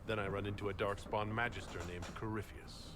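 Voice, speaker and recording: An actor playing a direct, serious commander in a fantasy game who questions others probingly. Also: male voice, on the low side, little pitch movement, a little fast, lightly acted. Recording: very noisy game scene.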